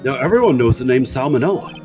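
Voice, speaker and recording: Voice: mid-range voice, animated, fast, lightly acted. A streamer playing a timid, sad male murder suspect in an amateur live game dub, speaking hesitantly. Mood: explanatory. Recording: music playing behind the voice.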